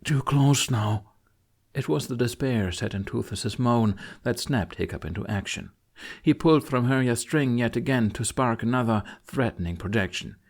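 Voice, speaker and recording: A man's voice: medium pitch, moderate pace. A man reading dramatic fiction as a scripted audiobook narrator.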